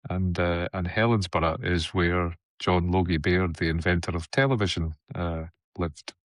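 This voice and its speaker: Deep-voiced Scottish man. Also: fairly even intonation, a little slow, dry recording, studio quality. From west of Scotland. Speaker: educator, guest. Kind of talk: conversational dialogue.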